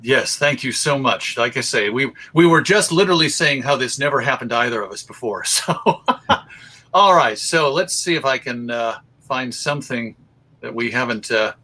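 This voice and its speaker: A man's voice: medium pitch, moderate pace, bright, forceful tone. A man speaking casually and apologetically as he presents live over a video call. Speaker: guest presenter, radio show host. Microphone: video call microphone.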